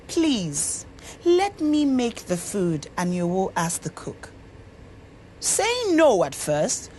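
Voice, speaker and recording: A woman reading a scripted story as an expressive narrator, deliberate and dramatic. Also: mid-range voice, a little hiss or hum. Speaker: story reader. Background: music under the voice.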